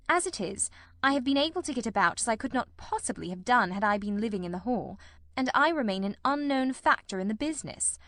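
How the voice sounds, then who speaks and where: A woman's voice: on the high side, dry recording, clean studio sound, natural voice. A woman voicing a keen, composed male detective in an audiobook, with a cold, incisive, precise and wryly ironical delivery. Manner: ironical, precise, politely teasing. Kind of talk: audiobook character dialogue.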